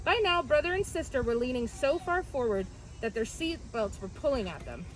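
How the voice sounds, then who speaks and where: A woman storyteller reading a picture book aloud to children in an expressive style. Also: sits high, normal speed. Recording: clearly noisy, with background ambience.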